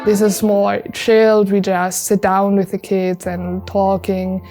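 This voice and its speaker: An eighteen-year-old woman from Germany, speaking English with a German accent in a relaxed, youthful way. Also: mid-range voice, takes it slowly, creaky, soft background music. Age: young adult. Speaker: volunteer. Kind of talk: interview testimonial.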